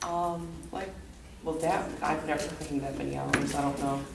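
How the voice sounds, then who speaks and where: Female participant, low voice with fry, halting casual delivery, noisy echoing room. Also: steady tone, a crowd in the background. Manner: hesitant, halting. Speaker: lecture participant. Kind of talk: casual personal sharing. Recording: echoey room, distant mic, clear noise.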